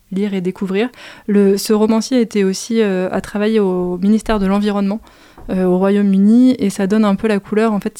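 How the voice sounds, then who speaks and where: Woman, radio guest, mellow voice, hesitant, dry close sound. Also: mid-range voice, smooth, no echo. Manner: hesitant, many fillers, laughs easily. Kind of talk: casual radio chat.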